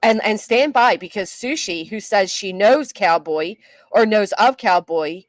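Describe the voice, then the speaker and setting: A woman's voice: mid-range voice, lively intonation, unhurried, no room sound. A woman giving casual commentary on a live stream, reading chat comments aloud with a skeptical tone.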